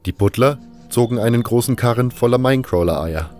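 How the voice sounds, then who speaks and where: A man reading a scripted first-person fantasy story as narrator, in a measured, literary delivery. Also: medium pitch, expressive, darkish tone, faint music behind. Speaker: narrator of a fan audio drama.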